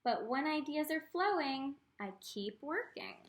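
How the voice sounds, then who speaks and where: A woman reading a picture book aloud to children as an art teacher, expressive, with teaching asides spoken directly to the young listeners. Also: high voice, unhurried, slight background noise.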